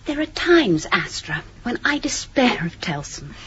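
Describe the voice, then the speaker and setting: A woman playing a headstrong, excitable young space traveller in a science fiction radio drama, with emphatic, expressive delivery. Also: fairly high pitch, unhurried, slight background noise. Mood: puzzled, wry. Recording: dull radio recording under loud music.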